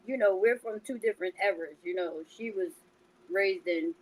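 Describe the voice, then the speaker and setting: A woman from New York co-hosting a podcast, with a high, childlike voice and a blunt, forceful way of talking. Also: normal speed, low-quality recording. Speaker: podcast co-host. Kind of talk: casual chat.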